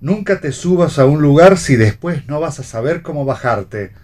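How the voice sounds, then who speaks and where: A man's voice: fairly high pitch, lively intonation, moderate pace, a little mellow, lightly acted. A man with an Argentine accent playing a stern, proud, sententious husband in a radio play reading. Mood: curt. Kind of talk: read theatre for radio.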